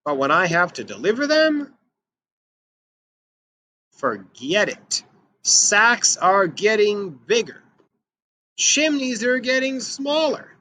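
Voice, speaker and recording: Male children's storybook reader, higher-pitched and bright, expressive and emphatic delivery. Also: slow, long pauses. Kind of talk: expressive read-aloud of a picture book for children.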